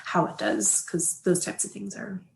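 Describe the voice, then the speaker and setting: A woman's voice: lowish voice, sing-song, moderate pace, creaky, background noise. A woman from the audience asking a hesitant question full of fillers at an online panel.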